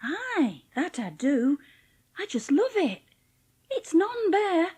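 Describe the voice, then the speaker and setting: A woman's voice: very high voice, sing-song, slow, darkish tone, exaggerated voice. A woman playing a cheerful, plain-spoken young housemaid with a Yorkshire accent in a dramatised audiobook. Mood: warm, enthusiastic. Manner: chatty, blunt, homely dialect speech.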